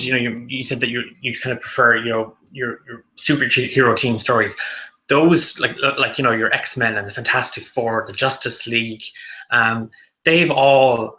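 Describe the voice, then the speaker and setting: Bright-toned Irish man hosting. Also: mid-range voice, rough recording. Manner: halting, with many restarts and fillers. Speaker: book club host. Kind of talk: casual discussion.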